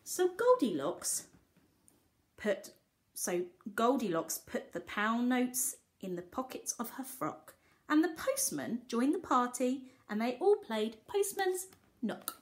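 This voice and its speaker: Animated, high-voiced woman reading to children. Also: slow. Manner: animated, explaining and questioning as if to small children. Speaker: teacher reading to young children. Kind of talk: expressive picture-book read-aloud with simple asides.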